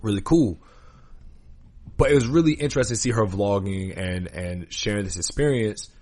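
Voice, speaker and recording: A man with a low voice talks casually as a podcast host in a dry-sounding space. He is earnest and opinionated, leaning on slang and repeating his points for emphasis. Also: normal speed, darkish tone, no room sound. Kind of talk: casual conversational podcast talk.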